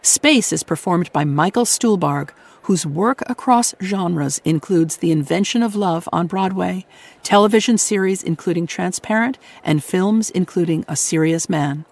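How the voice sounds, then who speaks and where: A woman's voice: fairly low pitch, unhurried, a little mellow. A woman presenting as host, reading scripted introductions for a radio programme.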